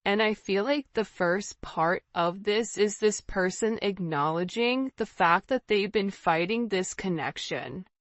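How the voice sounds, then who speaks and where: Female tarot host, mid-pitched, conversational, addressing the viewer directly; close dry sound. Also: a little slow, few pauses, dry recording, highs cut off. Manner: conversational, often checking in with "right?" and "you know". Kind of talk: informal spiritual tarot reading, speaking directly to the viewer.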